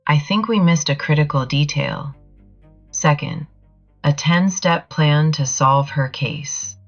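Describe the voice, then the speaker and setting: Slow, low-voiced deadpan woman narrator. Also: highs cut off. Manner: deadpan, dryly sardonic. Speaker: narrator of a commentary video. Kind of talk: scripted commentary narration. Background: music.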